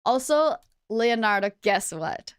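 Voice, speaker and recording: Woman vtuber chatting on stream, highish voice, hyper n playful, super dry mic. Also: slow, smooth, no echo. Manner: playful, energetic. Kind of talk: casual livestream chat while playing a game.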